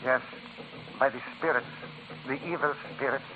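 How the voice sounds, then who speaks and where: A man's voice: medium pitch, steady tone, normal speed, a little hiss or hum, natural voice. An actor playing a solemn, fearful island man who believes in evil spirits, with portentous, formal phrasing, in a 1940s radio melodrama. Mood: ominous. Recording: tinny 1940s radio recording with music.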